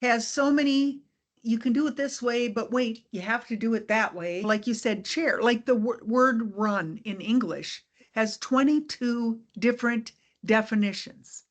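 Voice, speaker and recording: A woman hosting a casual podcast interview, upbeat and encouraging, with quick asides. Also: a little high. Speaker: podcast host.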